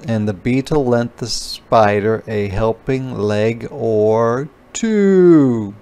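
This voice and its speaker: A man reading a children's storybook aloud as a teacher, pausing to explain words. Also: mid-range voice, warm tone.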